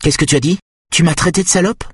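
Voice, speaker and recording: A stern, cruel matriarch in an audiobook, acted out by a man. The voice is raised in pitch and very husky, commanding and accusing, worn down yet fiercely set on obedience. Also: lively intonation, normal speed, no room sound. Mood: stern, impatient. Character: cruel, domineering, exhausted matriarch obsessed with family obedience. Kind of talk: audiobook character acting.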